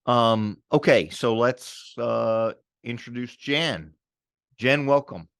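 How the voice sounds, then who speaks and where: A man's voice: mid-range voice, animated, takes it slowly, gravelly, a bit bright, clear, resonant tone, no room sound, clean studio sound. A man co-hosting a podcast, enthusiastic and curious, often restarting his sentences. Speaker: podcast co-host. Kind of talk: casual interview chat.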